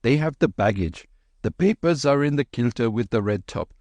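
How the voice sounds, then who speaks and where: A man voicing an excitable, anxious middle-aged clerk, fretful and pleading. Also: moderate pace, no room sound, natural voice. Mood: urgent whisper. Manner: fretful, pleading, high-strung.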